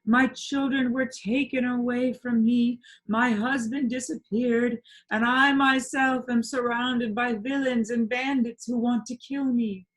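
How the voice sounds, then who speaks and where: A woman's voice: fairly high pitch, steady tone, unhurried, few pauses, pitched above the performer's own voice, rough recording. A woman voicing a melodramatic, sorrowful countess in a play, speaking plaintively. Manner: plaintive, theatrical.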